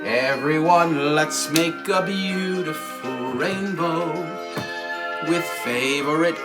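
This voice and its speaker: A man's voice: fairly high pitch, slow pace. A man hosting a children's puppet show and sing-along, exclamatory and encouraging, repeating his phrases. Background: music.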